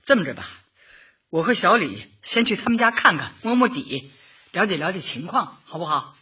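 A woman playing a warm older community Party secretary in a radio drama, chatty and homely, often chuckling and repeating herself. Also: lowish voice, big pitch swings, moderate pace, breathy edge, dull audio, full character voice. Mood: friendly, considered. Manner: chuckling, hesitant fillers, repeats words in homely colloquial talk.

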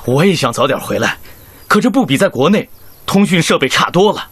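Eager, highly animated male doctor. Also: brisk, husky, dry recording, heavily acted. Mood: eager, reassuring. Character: eager, brave male doctor, respectful former student. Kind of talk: Chinese radio drama acting.

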